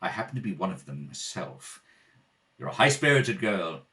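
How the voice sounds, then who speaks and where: Distant mic, man playing gentleman butler, low register, animated intonation, formal, earnest, courteous, amateur radio play. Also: full character voice. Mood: gentle, grave. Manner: polite, formal, measured, hesitant at times. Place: at a kitchen table at home.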